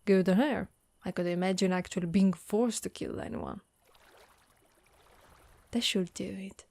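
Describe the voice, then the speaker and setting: Soft, mellow headless knight woman, acted by a woman. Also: medium pitch, fairly even intonation, unhurried, gravelly, dry recording. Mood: calm, explanatory. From Italy. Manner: soft, caring, reassuring. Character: gentle, dutiful undead Dullahan woman warrior, protective and shyly affectionate. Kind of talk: ASMR monster roleplay.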